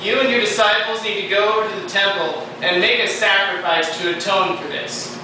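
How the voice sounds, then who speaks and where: A student actor playing a grumpy, scheming Pharisee in an amateur skit, talking in a hesitant deadpan way. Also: male voice, high-pitched, fairly quick, lightly acted. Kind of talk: amateur class skit acting. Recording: roomy distant noisy home video.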